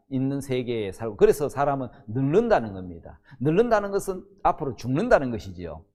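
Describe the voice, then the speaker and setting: Older male preacher with a very animated delivery. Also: mid-range voice. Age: 60s. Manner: emphatic teacher, often asks and answers own questions. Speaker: pastor. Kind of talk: Bible teaching sermon.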